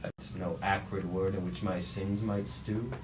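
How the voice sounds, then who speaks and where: Man playing a deep, grandiose robot warlord, distantly recorded. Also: level tone, a little slow. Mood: grave, brooding. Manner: declamatory, archaic formal speech. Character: fallen robot warlord, grandiose, commanding, brooding and resolute. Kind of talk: staged reading of mock Greek tragedy. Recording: roomy, distant mic, clear background noise.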